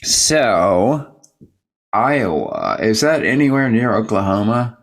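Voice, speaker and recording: A man's voice: lowish voice, very animated, takes it slowly, gravelly. A man hosting an interview in a casual, jokey way, wandering off on tangents as he chats. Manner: rambling, jokey, tangent-prone. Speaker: interview host and show producer. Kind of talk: casual rambling interview chat.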